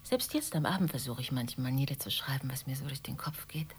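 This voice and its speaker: An actress playing an anxious, chatty, apologetic middle-aged shopkeeper in a radio drama, rambling on politely and breathily. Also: female voice, rumbling low, fairly quick, a little hiss or hum. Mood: wistful.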